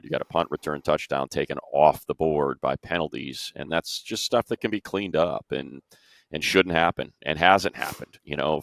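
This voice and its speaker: Very deep, gravelly man talking sports. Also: dry recording, clean studio sound. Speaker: sports show panelist and play-by-play announcer. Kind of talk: casual sports analysis.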